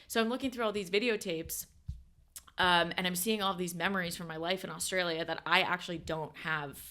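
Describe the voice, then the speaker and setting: A woman's voice: lowish voice, steady tone, a little fast, pushed and bright. Chatty young American woman, rambling. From United States. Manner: rambling, filler-heavy, self-correcting. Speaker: podcast guest. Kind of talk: casual interview chat.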